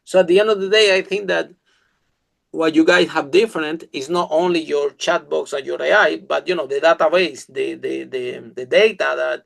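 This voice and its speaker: Gravelly Spanish-accented man hosting. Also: a little high, fairly bright tone. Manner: enthusiastic, halting with many fillers and restarts. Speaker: podcast host. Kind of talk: casual interview chat.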